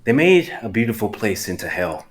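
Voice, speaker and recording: A man's voice: on the low side, sing-song, normal speed. A man reading stage directions aloud in a live dramatic reading, plain and matter-of-fact.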